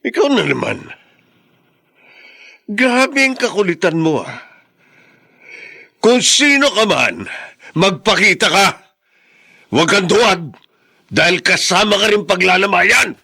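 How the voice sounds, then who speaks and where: Menacing, taunting villain, wildly varying pitch. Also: male voice, sing-song, slow, gravelly, long pauses, sharp tone, breathy edge, exaggerated voice. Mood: angry defiance. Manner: mocking, threatening. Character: menacing, greedy villainous brother-in-law, brazen and taunting. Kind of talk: Filipino radio drama acting.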